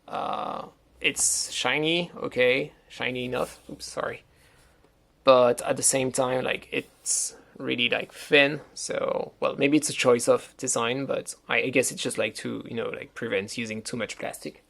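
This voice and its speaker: Creaky-voiced man with a French accent. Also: mid-range voice, fairly even intonation, measured pace, a bit bright. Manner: rambling, hesitant, heavy use of "like". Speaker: toy reviewer. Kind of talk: casual show-and-tell review.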